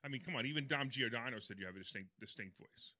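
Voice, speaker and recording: A man co-hosting a casual radio show, talking quickly with a playful, teasing manner. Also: mid-range voice, pushed and bright, dry recording, clean studio sound. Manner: fast, jokey, playful teasing.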